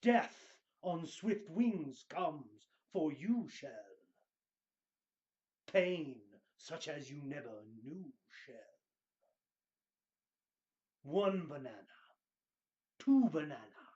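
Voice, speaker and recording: A man performs a grandiose dramatic reading in a slightly high, mellow voice. He takes his time, stretching phrases out with hammy pauses. The recording sounds a little dull and lo-fi. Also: expressive. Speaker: show host giving dramatic readings.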